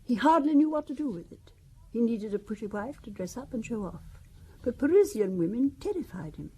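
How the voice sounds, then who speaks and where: Older widow, wealthy, gossipy, confiding, husky, warm, radio drama. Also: female voice, fairly high pitch, sing-song, brisk. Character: wealthy older widow, genteel, sharp-tongued about her daughter. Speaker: Valentine Besson.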